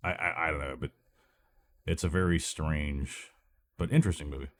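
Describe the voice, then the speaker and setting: Super deep voiced guy, kinda nasal and stuffed up from being sick, mumbling through a game. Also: steady tone, normal speed. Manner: rambling, thinking aloud, trailing off. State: recovering from illness, congested. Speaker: let's play gamer. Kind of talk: casual gameplay commentary.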